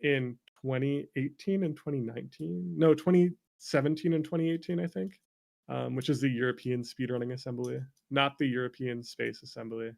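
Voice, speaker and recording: A man's voice: medium pitch, steady tone, moderate pace, gravelly, darkish tone. A young man telling stories casually as an interview guest, informal and self-deprecating, peppering his talk with "like". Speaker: interview guest, streamer and former speedrunner. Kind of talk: casual conversational storytelling.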